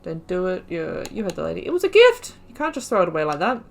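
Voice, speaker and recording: A woman's voice: on the low side, wildly swooping pitch, fast, vocal fry, faint music behind. A woman giving casual, chatty commentary on her video game playthrough, giggling and muttering asides. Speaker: gaming YouTuber. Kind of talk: casual let's-play commentary.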